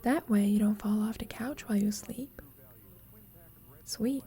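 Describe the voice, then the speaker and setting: A woman playing a teasing, affectionate young roommate with a secret crush in an ASMR roleplay, speaking softly and breathily, often in a whisper, with playful, tender gentleness. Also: medium pitch, a little slow, breathy edge. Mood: soft, cozy. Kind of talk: ASMR roleplay, intimate sleep-aid audio.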